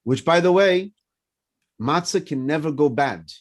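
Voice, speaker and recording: A man's voice: fairly high pitch, unhurried. A man in his fifties whose first language is French, leading a morning class with personal stories and questions put to his listeners. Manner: animated teaching with personal stories and repeated questions. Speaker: rabbi.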